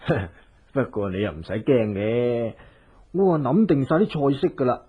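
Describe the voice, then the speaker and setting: An actor playing a doting, simple fat husband and cook in a Hong Kong Cantonese radio drama, with a fawning drawl. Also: male voice, medium pitch, very animated, moderate pace, breathy edge, phone-quality sound. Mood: easygoing, reassuring.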